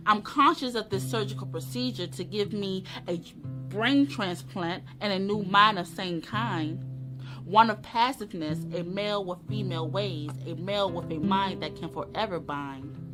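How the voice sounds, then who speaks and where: Woman reading poetry dramatically over guitar music. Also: mid-range voice. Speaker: poetry reader. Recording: live music under the voice. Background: plucked guitar music.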